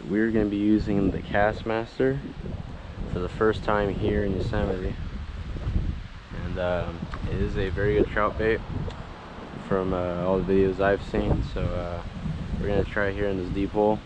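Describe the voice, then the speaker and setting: A young man casually narrating a fishing vlog outdoors, low-key and a little halting. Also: flat delivery. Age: young adult. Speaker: fishing vlogger. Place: outdoors by a river. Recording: noisy outdoor camera audio.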